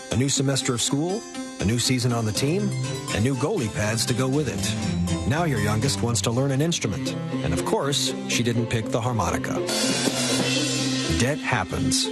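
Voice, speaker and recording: A man's voice: mid-range voice, sing-song, moderate pace. A man narrating a scripted radio commercial. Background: loud music.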